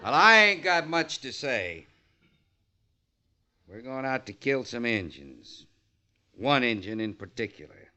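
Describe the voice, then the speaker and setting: Vengeful older cattle boss, forceful, swooping pitch, blunt folksy orders, old radio western. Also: male voice, big pitch swings, slow, long pauses, full character voice. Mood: commanding, menacing. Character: bitter, vengeful older rancher boss, hard and domineering.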